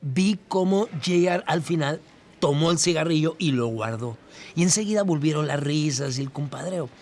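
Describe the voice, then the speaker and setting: An actor playing a kindly, sentimental Mexican campus worker giving testimony in an audio drama, chatty and hesitant. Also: male voice, on the high side, lively intonation, moderate pace, darkish tone. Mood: warm, moved. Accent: Latin American Spanish, Mexican. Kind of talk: documentary-style testimony, scripted audio drama. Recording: voice over noticeable ambient noise.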